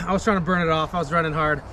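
A young man pilot chatting casually outdoors on a river gravel bar, eager and sometimes searching for words. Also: fairly high pitch, steady tone, fast talker, a crowd in the background. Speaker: pilot vlogger. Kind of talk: casual chat. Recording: outdoor noise behind.

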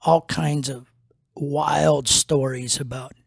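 Slow husky older man teaching. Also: a little high, fairly even intonation, no room sound, studio quality. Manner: slow, rambling, many fillers and restarts. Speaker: pastor and podcast host. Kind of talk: unscripted teaching talk. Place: small wood-paneled room indoors. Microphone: studio mic on a boom arm.

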